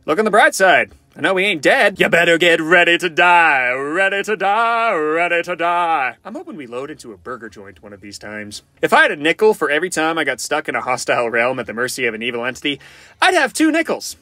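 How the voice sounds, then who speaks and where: A man's voice: fairly high pitch, sing-song, brisk, bright, forceful tone, dry recording. A man voicing a cocky, flirty, goofy wisecracking survivor for fan-made video game lines, in a playful, theatrical, quippy manner. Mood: wry, joking. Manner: playful, theatrical, quippy, often sing-song.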